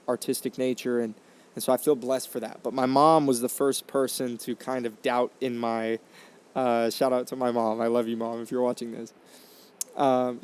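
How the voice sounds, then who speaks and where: A man's voice: medium pitch, a little mellow, clean tone. A man in his twenties hosting a podcast, telling his own story in an earnest, reflective way with many fillers and restarts, into a condenser microphone. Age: 20s. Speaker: podcast host. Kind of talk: casual storytelling monologue.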